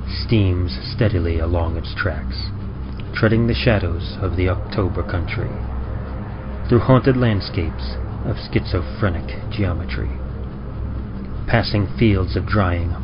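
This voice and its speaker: A man presenting and reading horror poetry in a solemn, theatrical old-time radio style. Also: low voice. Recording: dull audio under loud music.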